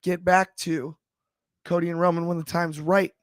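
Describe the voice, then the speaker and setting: A man with a slightly raspy voice, chatting about wrestling on a panel show in a thoughtful, halting way with many restarts. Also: fairly high pitch, level tone, dry recording, studio quality. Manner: thoughtful, frequent restarts and fillers. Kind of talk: casual discussion.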